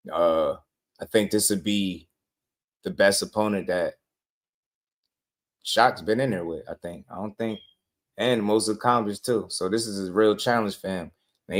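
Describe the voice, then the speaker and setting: A man with a lowish voice hosts a casual boxing talk, loose and slangy. He gets animated and laughs easily as he riffs. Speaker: boxing podcast host.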